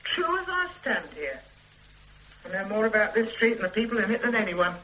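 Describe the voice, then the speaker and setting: A man's voice: sits high, very animated, a little fast, bright, forceful tone, heavily acted, poor audio. An actor playing a chatty shopkeeper in his sixties who knows everyone on the street, in a radio drama. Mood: gossipy. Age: 60s. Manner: gossiping, knowing. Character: chatty old male shopkeeper, gossipy. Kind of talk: 1970s radio drama acting.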